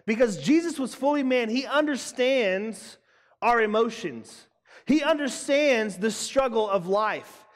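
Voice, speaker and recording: A young man preaching a sermon to students, emphatic and loud, repeating his key lines. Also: extremely high pitch. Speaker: youth pastor. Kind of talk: youth sermon. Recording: long hall reverb.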